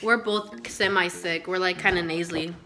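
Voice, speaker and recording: A woman's voice: fairly low pitch, steady tone, moderate pace, background noise. Nasal, sniffly young woman vlogging casually. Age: young adult. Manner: casual and swearing, mixes in Spanish. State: nasal from a cold. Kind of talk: casual vlog chat. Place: home living room, then a car at night. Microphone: phone held at arm's length.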